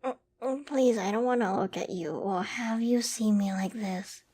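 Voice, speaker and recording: A woman's voice: medium pitch, moderate pace, breathy edge, close to an everyday voice. A woman playing a shy, loving, easily flustered young girlfriend in an intimate ASMR bedtime roleplay, speaking tenderly and hesitantly. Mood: shy pouting. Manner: tender, hesitant, soft-spoken with stammers.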